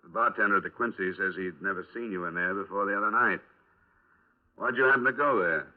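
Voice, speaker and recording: A man's voice: lowish voice, fast talker, lightly acted. An actor playing a terse, matter-of-fact insurance investigator who narrates his case in a 1950s radio crime drama. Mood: pressing. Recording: restored 1950s radio, narrow band, faint music.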